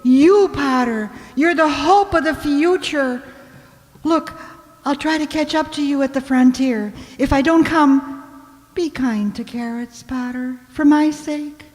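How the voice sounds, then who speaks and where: A woman's voice: high-pitched, slow pace, darkish tone. A woman playing a defiant, devoted young heroine in a melodramatic radio play, declaiming with theatrical passion. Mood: urgent, tender. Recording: echoing hall reverb.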